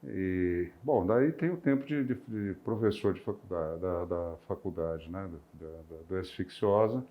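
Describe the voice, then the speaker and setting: An older man with a very low, deep voice and a rural São Paulo interior accent speaks slowly through his memories, pausing on fillers and restarting his phrases. The sound is a little dull and muffled. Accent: rural São Paulo interior, near Minas Gerais. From interior of São Paulo state, Brazil. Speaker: retired physician. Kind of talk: reflective personal recollection.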